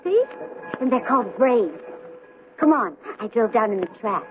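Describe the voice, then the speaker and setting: Actress as high-voiced, girlish, teasing young woman. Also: lively intonation, moderate pace, natural voice. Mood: playful, excited. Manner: girlish, breezy, playful. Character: bright, teasing young woman of twenty, eerily matter-of-fact. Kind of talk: 1970s radio drama acting. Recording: tinny, noisy old radio broadcast.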